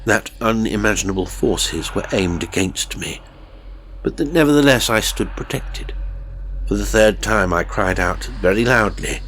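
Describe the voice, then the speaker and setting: A man narrating a horror story in a measured, suspenseful and theatrical way. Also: lowish voice, expressive, a bit bright, a little hiss or hum. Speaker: audiobook narrator.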